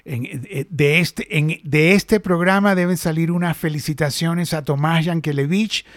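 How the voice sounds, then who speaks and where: A man's voice: on the high side, steady tone, a little slow, no echo, clean studio sound. A middle-aged Venezuelan man hosting a casual industry chat, talking animatedly with many restarts. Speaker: host.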